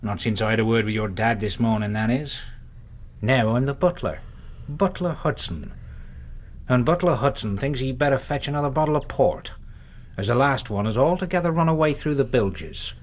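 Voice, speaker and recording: An actor playing an insolent, menacing old seaman turned butler, speaking in a rough, sneering sailor's manner in a radio drama. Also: medium pitch, expressive, moderate pace, lightly acted. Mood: insolent, gloating. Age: older.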